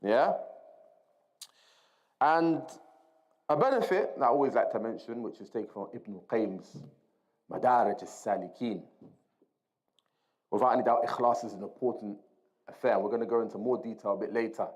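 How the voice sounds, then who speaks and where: A man's voice: fairly low pitch, normal speed, long pauses, darkish tone, lo-fi sound. A man teaching a religious lesson, explaining and translating Arabic quotes, repeating key phrases and checking with his listeners.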